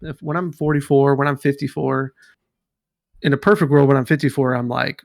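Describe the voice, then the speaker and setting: A man in his thirties with a gravelly, fry-edged voice rambles through a casual podcast chat, stacking fillers and restarting his sentences. He sits close to a dry mic, at an ordinary middle pitch. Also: fairly even intonation, fairly quick, no echo. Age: 30s. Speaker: podcast co-host.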